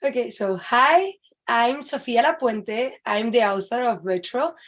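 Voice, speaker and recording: A woman's voice: a little high, unhurried. A young woman from Spain with a Spanish accent, an author guest speaking in short, friendly sentences. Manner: friendly, short plain sentences, non-native phrasing. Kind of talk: casual interview chat.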